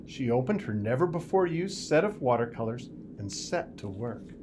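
A man reads a children's picture book aloud with deliberate, expressive storytelling in a small office. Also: medium pitch, noisy background. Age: 50s. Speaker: school superintendent reading aloud.

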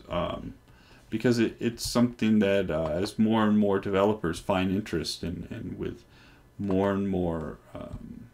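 A man in his forties on a headset mic, casually talking through a software install with many ums and restarts. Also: fairly low pitch. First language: English. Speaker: developer presenting hardware. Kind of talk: casual screen-recorded tech demo. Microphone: headset microphone.

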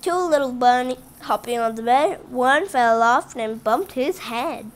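A female host reads a picture book aloud to children, her voice pitched high and swinging widely up and down. She takes her time, trips and restarts on words, and breaks off to talk directly to the kids. It sounds recorded on a phone held over a table at home. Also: lively intonation. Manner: halting reading with restarts and chatty asides to the kids. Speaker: read-aloud channel host.

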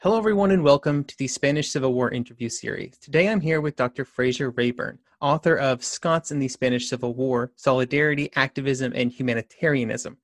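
A man's voice: medium pitch, a little fast, hardly any pauses, no room sound, studio quality. A man hosting a history interview podcast, reading a scripted introduction and then asking questions casually. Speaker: podcast host.

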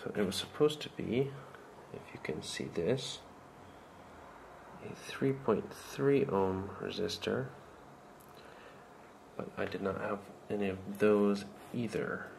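A man giving an informal, halting demonstration of an electronics project, thinking aloud as he goes. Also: fairly low pitch, creaky, darkish tone, a little hiss or hum. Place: indoors at a tabletop workbench. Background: music playing from small speakers.

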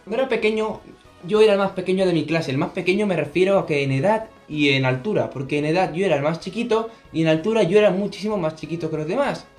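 A man's voice: high voice, quick pace, darkish tone, faint music behind. A teenage boy with a Spanish accent from Spain, chatting casually to camera as a gaming vlogger in his bedroom, jokey and rambling. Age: teens. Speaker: gaming youtuber. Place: bedroom, indoors. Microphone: camera on desk, close framing.

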